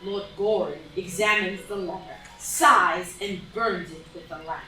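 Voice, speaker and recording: A woman's voice: on the low side, a little slow, sharp tone. A woman narrator reading stage directions dramatically, recorded from a distance in a very echoey room. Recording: very echoey room, distant mic, music behind.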